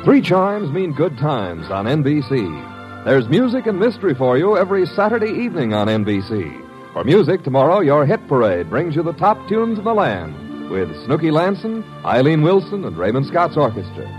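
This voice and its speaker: Casting: male American announcer for golden-age radio, formal and polished with lively intonation, faint music behind, dull old recording. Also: on the high side, moderate pace. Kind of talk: 1950s network radio announcing. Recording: dull, band-limited vintage radio recording.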